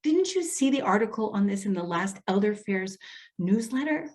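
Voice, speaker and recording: A woman's voice: medium pitch, very animated, normal speed, darkish tone, close to an everyday voice. A woman acting an earnest, protective social worker in readers theater, speaking carefully and insistently. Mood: cautioning. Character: earnest, protective female elder-care social worker, cautious.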